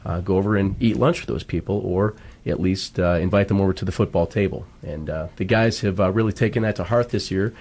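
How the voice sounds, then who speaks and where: A man speaking as a school football coach in a radio interview, earnest and halting as he explains. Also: deep voice, a little mellow.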